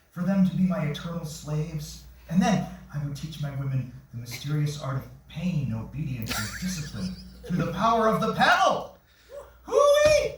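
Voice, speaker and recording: Casting: actor as mischievous lecherous bachelor, sardonic, theatrical, swooping delivery, stage play in a very echoey room. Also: male voice, a little high. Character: single man speed dating, sly, mischievous, lecherous. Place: small theatre, staged reading. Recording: echoey theatre, far mic, faint noise.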